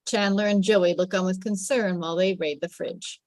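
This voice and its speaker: A woman's voice: on the low side, steady tone, normal speed, a little mellow, dry recording. Woman reading stage directions, dry sound. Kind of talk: scripted narration at a table read.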